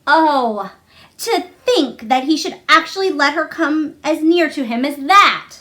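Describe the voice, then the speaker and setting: A woman's voice: sits high, animated, a little slow, fairly bright tone. A woman narrating a children's novel aloud as a storybook reader.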